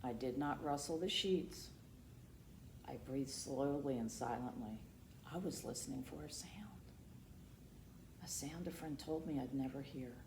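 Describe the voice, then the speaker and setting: A woman hosting a storytime, reading aloud expressively for children and dropping in asides, moving between hushed and emphatic delivery. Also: very deep voice, unhurried, pauses often. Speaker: library storytime host. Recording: roomy echo with faint background noise.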